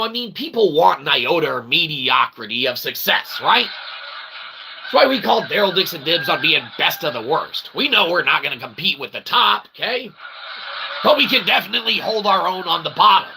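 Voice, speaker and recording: A man's voice: a little high, gravelly, background noise. A man who hosts his own channel rants emphatically to viewers in wordy mock-academic phrases mixed with slang, often checking in with a pointed "okay?". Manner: punctuates statements with "okay?" and "all right". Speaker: channel host, self-published author. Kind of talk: opinionated rant to viewers.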